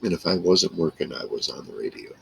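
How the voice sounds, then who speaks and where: A man in his sixties with a gravelly, husky voice, telling rambling, salty stories in a casual monologue to camera. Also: low-pitched, expressive, quick pace. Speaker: vlogger. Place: small wood-paneled room indoors.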